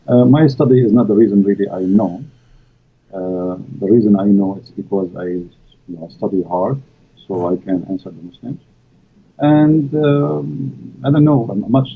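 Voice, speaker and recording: A man's voice: fairly low pitch, gravelly, slightly rough. A man whose first language is Arabic, from the Middle East, speaking as a guest in hesitant, filler-laden English with non-native grammar over a call line. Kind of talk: casual live-stream conversation. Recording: tinny call audio.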